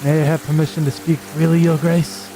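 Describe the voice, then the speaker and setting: An actor playing a gruff, candid warrior adventurer in a fantasy audio drama, grave and plain-spoken. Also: male voice, fairly high pitch, little pitch movement, fairly quick, background noise, natural voice. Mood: frank, grave.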